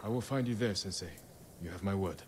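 A man acting as a resolute young-hero type of samurai in a game drama. His low voice is restrained, solemn and formal. Noisy ambience runs behind it. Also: brisk, natural voice. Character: earnest, loyal male samurai warrior, quietly resolute. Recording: clear background noise, game ambience.

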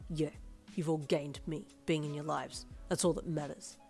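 A woman voicing a gruff, cocky teenage boy in an audio story, curt and grunting. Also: low voice, fairly even intonation, moderate pace, a little mellow, faint music behind, pitched below the performer's own voice. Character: surly, gruff, cocky stoic teenage boyfriend.

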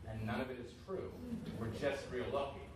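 A man's voice: mid-range voice, steady tone, moderate pace. A middle-aged man from Florida presents to a conference panel audience in a casual, joking way. His voice carries a heavy room echo and sounds distant from the mic. A faint hum of ambience sits underneath. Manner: casual, joking, talks through slides. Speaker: county emergency management director, panelist. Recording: echoey hall, from far off.

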